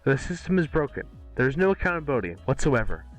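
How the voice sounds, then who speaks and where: Guy doing a casual amateur script read, average pitch, faint music behind him. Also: sing-song, quick pace. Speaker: amateur voice actor at a table read.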